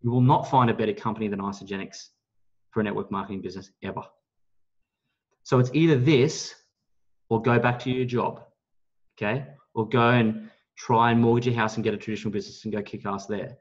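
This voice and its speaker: A man giving a motivational business pitch, speaking in an emphatic, blunt, salesy way with some mild swearing. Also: fairly even intonation, brisk. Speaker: network marketing leader, guest speaker on a team call. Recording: dull, lo-fi call audio.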